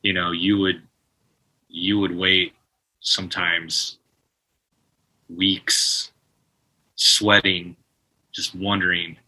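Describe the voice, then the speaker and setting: Bright-voiced man telling a story. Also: deep voice, extremely slow, pauses often, poor audio. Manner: animated storyteller with frequent restarts, mimics phone tones. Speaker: guest musician. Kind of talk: casual podcast interview.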